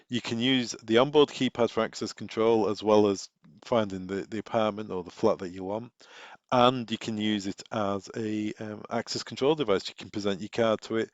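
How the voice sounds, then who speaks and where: A man presenting informal product training, explaining things step by step through practical examples. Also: on the low side. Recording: echoey room, dull muffled sound.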